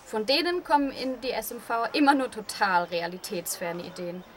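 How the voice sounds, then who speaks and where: High bright voice, woman as rebellious schoolgirl, cheeky, casual, radio play, noisy background. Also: normal speed, natural voice. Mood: opinionated, casual. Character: Rika, cheeky rebellious schoolgirl, outspoken daughter. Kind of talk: scripted amateur radio play. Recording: clear background noise and ambience.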